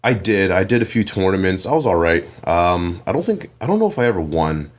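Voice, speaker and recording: A young man from Connecticut, a guest in a casual interview, telling relaxed, rambling stories about his past. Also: low-pitched, brisk.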